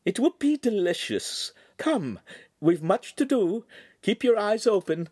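A man reading an audiobook and voicing a cheerful, bossy noblewoman in a high, sing-song lilt. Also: very animated, unhurried, darkish tone, breathy edge, dry recording, studio quality, heavily acted. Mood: brisk, cheerful. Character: fussy older female noblewoman, brisk and cheerful. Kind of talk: audiobook character voice.

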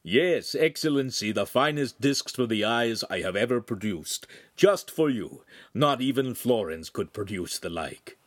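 Man playing a stern, animated master craftsman. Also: medium pitch, unhurried, hardly any pauses, no echo, clean studio sound. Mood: fawning. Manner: scolding, obsequious to superiors. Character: stern, gruff master craftsman, fawning to his patron.

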